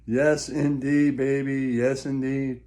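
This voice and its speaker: A man hosting a comedy show in a slow, relaxed voice. He rambles in a crude, mocking way and circles back to the same catchphrases. His tone stays mellow and mid-pitched. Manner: crude, mocking, repetitive, laughs at own jokes. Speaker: comic host of an online show. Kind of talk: rambling comic monologue with repeated catchphrases.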